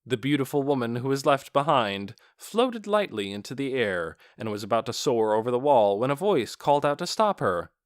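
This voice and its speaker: A man's voice: medium pitch, sing-song, moderate pace, few pauses, no room sound, studio quality. A man reading scripted fantasy fiction as a dramatic audiobook narrator.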